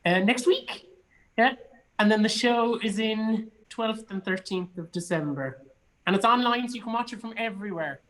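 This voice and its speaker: A man's voice: sits high, normal speed, a bit bright, poor audio. Chatty, enthusiastic Irish man. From Cork, Ireland. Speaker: guest, actor and theatre producer. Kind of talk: casual online chat. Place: indoors in a studio.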